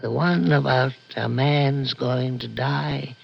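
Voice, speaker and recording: A man playing a weary, gentle, very old prison guard, soft-spoken and wistful, in 1960s radio drama style. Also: medium pitch, fairly even intonation, takes it slowly, full character voice. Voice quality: creaky, husky old voice. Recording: dull old radio recording.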